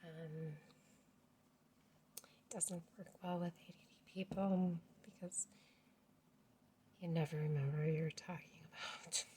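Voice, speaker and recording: A woman in her forties vlogging casually at home, speaking in a hushed, half-whispered voice and rambling as she loses her words and laughs. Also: low-pitched, fairly even intonation, measured pace, pauses often, a little hiss or hum. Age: 40s. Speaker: family vlogger. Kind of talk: casual rambling vlog chat.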